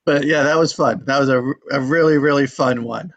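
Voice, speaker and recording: A man's voice: medium pitch, fairly quick, highs cut off. A man chatting casually in a group, giving his reactions. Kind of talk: casual chat.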